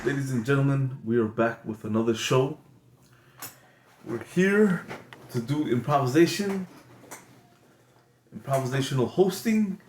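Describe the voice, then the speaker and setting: A man hosting an improvised comedy show, rambling, halting and self-mocking. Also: mid-range voice, animated, reverberant, slight background noise. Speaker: comedy host. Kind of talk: improvised comic monologue.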